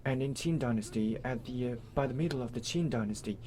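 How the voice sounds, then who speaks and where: A man's voice: medium pitch, fast talker, warm tone. A man explaining local history live in short simple phrases, hesitant and often correcting himself.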